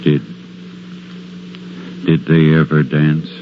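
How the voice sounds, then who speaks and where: An actor playing a gruff, domineering small-town doctor in grave, emphatic 1940s radio drama style. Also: male voice, very deep voice, little pitch movement, takes it slowly, exaggerated voice. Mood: hollow horror. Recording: muffled, noisy old radio recording.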